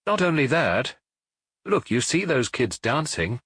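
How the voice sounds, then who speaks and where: A knowing, familiar male dance-hall owner, as a male narrator puts him on for an audiobook. He talks confidingly from behind the bar, pitched up, with a lively melody and a slightly husky grain. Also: unhurried, heavily acted. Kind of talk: audiobook character dialogue.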